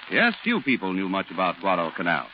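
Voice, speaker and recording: An actor playing a formal, probing questioner and announcer in a 1940s radio drama. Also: male voice, deep voice, huge pitch swings, quick pace. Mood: inquiring, formal. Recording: muffled, hissy vintage radio broadcast.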